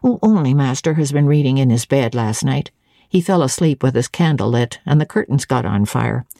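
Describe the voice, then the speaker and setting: Audiobook servant woman, voiced by a female reader: very low, mellow, stolid and composed, with guarded, pointed phrasing. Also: animated, gravelly, dry recording, clean studio sound, full character voice. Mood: flat, composed. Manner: phlegmatic, measured, insinuating.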